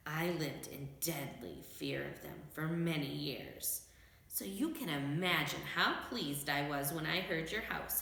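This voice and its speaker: A woman's voice: on the low side, gravelly, fairly bright tone, very echoey, full character voice. A woman voicing a very old storybook wizard, meek and apologetic, who reasons and confesses mildly. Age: older.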